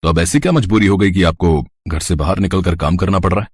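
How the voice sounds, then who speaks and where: A man's voice: low-pitched, fast talker, husky, no echo, pitched below the performer's own voice. A man voicing a friendly young bachelor employer in a moral story, casual, polite and respectful. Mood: kind, curious. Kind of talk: dramatised Hindi moral story.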